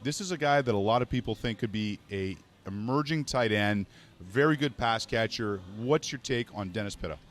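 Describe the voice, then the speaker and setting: A man from Montreal hosting a fantasy sports radio show in casual, joking chat. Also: fairly low pitch. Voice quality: joking, brisk. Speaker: podcast host.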